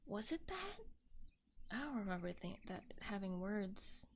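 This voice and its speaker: A woman streamer with a soft, gentle, often whispery voice, chatting casually to viewers in an ASMR style close to a binaural mic, musing aloud. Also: medium pitch, very animated, normal speed, slight background noise. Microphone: close binaural ASMR microphone.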